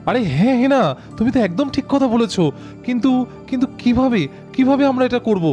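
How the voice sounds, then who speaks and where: A woman voicing brisk, emphatic young men in a doll cartoon. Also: on the high side, mellow, faint music behind, natural voice. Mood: exasperated. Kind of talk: cartoon voice acting.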